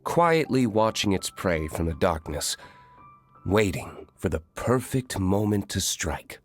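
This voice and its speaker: A low, brooding young nobleman warrior. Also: sing-song, soft background music, heavily acted. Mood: aloof, reserved. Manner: reserved, measured, curt, formal. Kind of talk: video game character voice lines.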